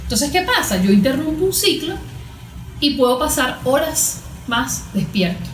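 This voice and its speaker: A woman's voice: sits high, big pitch swings, normal speed, a little hiss or hum. A woman with a Venezuelan Spanish accent speaks as a guest therapist in an interview, explaining things didactically with everyday examples and questions she answers herself. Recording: roomy, echoing room sound.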